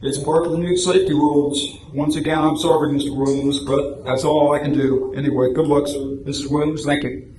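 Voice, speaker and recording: A man's voice: fairly high pitch, fairly even intonation, brisk, a little mellow, close to an everyday voice. Man playing apologetic but firm policeman, echoey recording. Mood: grave, apologetic. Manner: patient, matter-of-fact explaining, apologetic. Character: apologetic but unbending male police patrolman. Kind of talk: amateur radio drama reading. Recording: echoey room, clear background noise.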